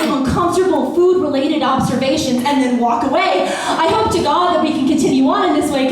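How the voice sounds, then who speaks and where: Young American spoken word poet, high voice, playful and wry, live in a small echoey bar, mic at a distance. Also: female voice, slight background noise. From Austin, Texas, USA. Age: young adult. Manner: playful, wry, with sudden pitch jumps and laughs. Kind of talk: spoken word poetry performance. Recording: echoey bar room, voice from far off.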